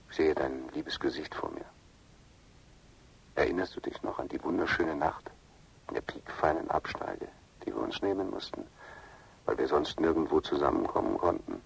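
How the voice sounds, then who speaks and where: Very deep gravelly lover. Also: male voice, recorded from a distance, heavily acted, poor audio. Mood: wistful, tender. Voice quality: gravelly, husky, breathy at times. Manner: intimate, florid, lingering phrasing. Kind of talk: radio drama interior monologue.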